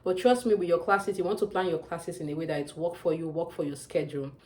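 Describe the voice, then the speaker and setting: Fast-talking Nigerian woman vlogger. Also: lowish voice. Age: young adult. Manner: chatty, emphatic, often calls out "you guys". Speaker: student vlogger. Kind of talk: casual advice vlog to camera.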